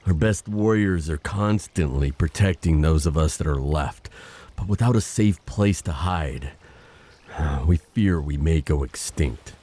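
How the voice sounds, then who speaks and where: Casting: male actor for noble prince of the sea, extremely low dark voice, grave and formal, audio fantasy drama. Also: unhurried. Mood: grave, sorrowful. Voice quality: very deep, dark. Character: solemn, dutiful undersea prince guarding his hunted people.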